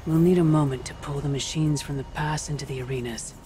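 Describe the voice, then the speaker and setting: A woman's voice: sits low, fairly even intonation, a little fast, warm tone, soft background music, close to an everyday voice. Woman acting a wry old veteran huntress. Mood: proud, instructive. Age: older. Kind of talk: video game dramatic dialogue.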